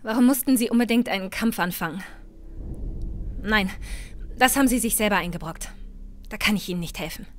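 A woman playing a sharp-tongued, hard-headed adventurer in a fantasy audio drama, speaking bluntly. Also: medium pitch, a little fast, long pauses, a little hiss or hum, natural voice. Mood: bitter, resigned. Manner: scolding, blunt. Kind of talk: fan audio drama acting. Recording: music underneath.

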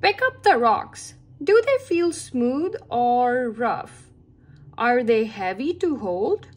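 A woman's voice: a little high, big pitch swings, slow, a little mellow. A woman reading a children's science book aloud with careful phrasing meant for young listeners. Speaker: read-aloud reader for children.

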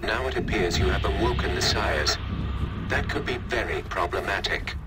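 Game role for a man: unhinged, pompous security computer, lowish voice, smug scientific lecturer, heavy score behind. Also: normal speed, natural voice. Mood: smug, formal regret. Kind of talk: cinematic video game acting. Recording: game music and machinery behind voice.

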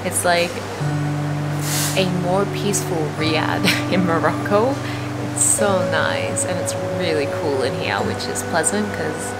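A woman talking casually to the camera as a travel vlogger, chatty and quick to laugh, walking outdoors between sights. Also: on the low side, low-quality recording. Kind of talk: casual travel vlog narration. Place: outdoors on town streets and at sights. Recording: loud music bed over the voice. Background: loud background music.

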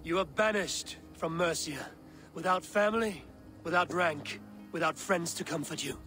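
An actor playing a resolute Viking warrior in a video game, speaking in a measured, solemn, commanding manner. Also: male voice, fairly high pitch, pushed and bright, soft background music. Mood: stern. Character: resolute, stern Viking warrior man in his prime. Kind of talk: cinematic video game acting. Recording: noisy game ambience behind.

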